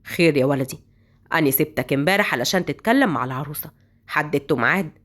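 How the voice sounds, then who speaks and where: A commanding old village patriarch, as a woman puts him on. His voice is pushed far below hers and stays gruff. It comes from a spoken storybook. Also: low voice, quick pace, a bit bright, pitched below the performer's own voice. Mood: stern. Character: old stern village grandfather, domineering.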